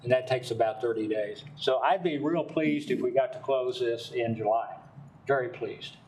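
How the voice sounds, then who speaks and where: An older man representing a developer, giving folksy, rambling testimony at a city council meeting and speaking loudly on purpose. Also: medium pitch, moderate pace, far from the mic, a little hiss or hum.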